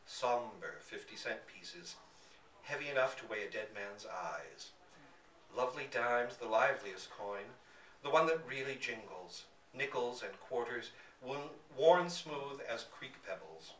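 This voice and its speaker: A man reading a literary story aloud to listeners in a bookstore, with theatrical, deliberate phrasing. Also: medium pitch, lively intonation, a bit bright. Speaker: reader at a live literary reading. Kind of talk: expressive scripted story narration. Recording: echoey room, distant mic, faint background noise.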